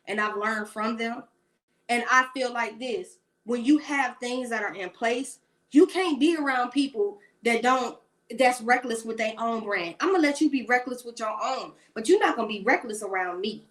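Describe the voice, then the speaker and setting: A woman's voice: fairly high pitch, fairly bright tone. A woman in her late thirties giving blunt, sassy celebrity gossip commentary in a rambling rant. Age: 30s.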